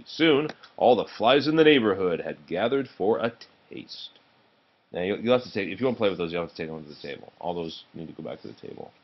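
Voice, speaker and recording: A man reading a children's storybook aloud in an expressive way, pausing to speak to the children with him. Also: deep voice, highs cut off. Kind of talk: read-aloud of a children's storybook, broken by asides to kids.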